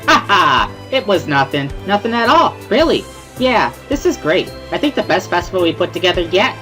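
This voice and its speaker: A man voicing a bubbly, loud teenage schoolgirl who teases in a gushing, sing-song way. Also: high-pitched, sing-song, moderate pace, faint music behind, voice pushed up, heavily acted. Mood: beaming.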